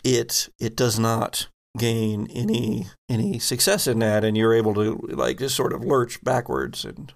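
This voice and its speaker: A man acting as game master, narrating a tabletop roleplaying game with halting, improvised descriptions. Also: medium pitch, sing-song, creaky.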